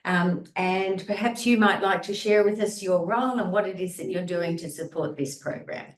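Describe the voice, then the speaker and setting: A woman's voice: medium pitch, moderate pace, echoey room. A woman leading a video meeting, introducing team members in an upbeat way. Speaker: course lead. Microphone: video call.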